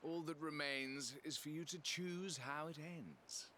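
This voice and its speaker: Casting: arrogant knight villain for a video game, slow, theatrical, sharp-edged delivery, cold scorn, pompous menace. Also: male voice, a little high, a little hiss or hum. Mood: gloating menace.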